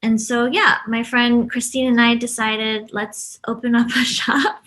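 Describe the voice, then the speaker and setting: A woman's voice: fairly high pitch, flat delivery, a little slow, a bit bright. A woman who grew up in Brazil, the US and Japan, talking in a chatty, informal way as an interview guest. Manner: chatty, informal, laughs easily. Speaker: interview guest, stationery shop co-owner. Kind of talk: casual conversational interview.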